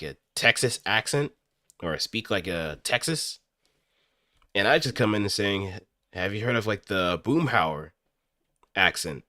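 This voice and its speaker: A man chatting casually as a streamer, talking in an animated, rambling way. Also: low-pitched, no room sound, clean studio sound. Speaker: streamer practicing voice acting. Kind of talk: casual livestream chat.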